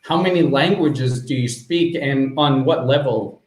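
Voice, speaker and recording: English teacher host in an echoey room. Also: male voice, medium pitch, a little slow. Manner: encouraging, laughs easily. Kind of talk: casual interview.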